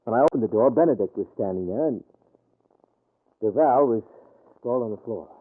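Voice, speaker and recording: Man acting bewildered murder suspect, medium pitch, earnest, clipped, uncertain, vintage radio drama, narrow-band sound. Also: expressive, a little fast, close to an everyday voice. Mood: troubled, recounting. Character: troubled, bewildered male murder suspect recounting his night. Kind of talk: old-time radio crime drama acting.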